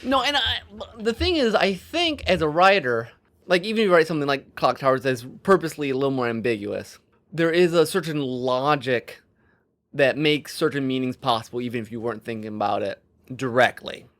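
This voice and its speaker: A man's voice: mid-range voice, expressive, brisk, a bit bright. Man thinking aloud in casual discussion. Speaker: podcast co-host.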